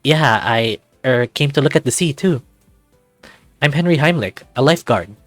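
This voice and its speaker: A man's voice: mid-range voice, lively intonation, moderate pace, no echo, soft background music, natural voice. A man voicing a friendly, inquisitive young lifeguard, the hero of a story game. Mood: curious. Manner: chatty, inquisitive.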